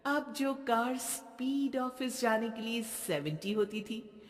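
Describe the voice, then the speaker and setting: Very slow, echoing story narrator. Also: medium pitch. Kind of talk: scripted cartoon story narration.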